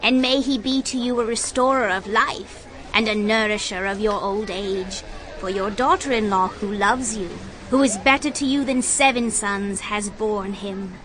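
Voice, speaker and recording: A woman's voice: medium pitch, normal speed, crowd noise, lightly acted, rough recording. A woman playing a devout village neighbor, reverent and declamatory, in a dramatized scripture reading. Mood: joyful, reverent.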